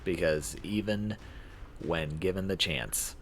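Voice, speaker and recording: A man's voice: low voice, takes it slowly, a bit bright. A man narrating a visual novel aloud as a streamer, stumbling over words and rereading them. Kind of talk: live read-aloud narration of game text. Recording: clear background noise.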